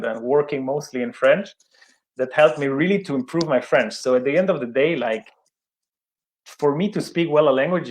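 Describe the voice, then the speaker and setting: A man's voice: mid-range voice, darkish tone. A young man speaking English as a second language, a guest in an interview, talking with many fillers and restarts. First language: not English. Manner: hesitant, many fillers and restarts, laughs at himself. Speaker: interview guest, sports event manager. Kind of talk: conversational interview.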